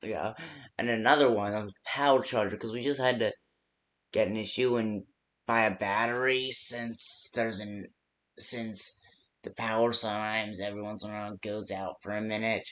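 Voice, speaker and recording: A man's voice: lowish voice, fairly even intonation, fairly bright tone, lightly acted. A man playing a nervous, hesitant coworker who leaves stammered security tips by phone in an amateur horror game. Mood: hesitant, explaining. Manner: hesitant, halting, stammering delivery. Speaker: voice actor in fan-made game.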